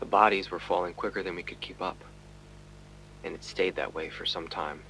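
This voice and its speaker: An actor playing a weary, driven police detective who narrates his case in a brooding, deliberate way for an audio drama. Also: low voice, steady tone, vocal fry, pushed and bright, slight background noise, exaggerated voice, rough recording. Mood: somber.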